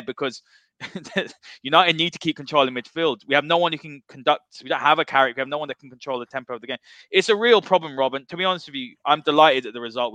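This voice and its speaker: A man's voice: medium pitch, bright, forceful tone, no echo. A man hosting a football podcast, giving blunt, passionate opinions. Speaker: podcast host.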